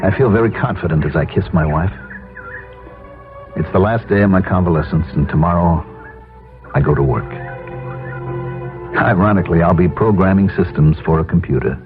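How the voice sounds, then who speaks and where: An actor playing a skeptical but affectionate husband in a 1970s radio drama. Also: male voice, low voice, moderate pace, pauses often, natural voice. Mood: warm, confident. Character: convalescing husband, computer programmer, skeptical yet affectionate. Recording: tinny 1970s radio broadcast, music behind.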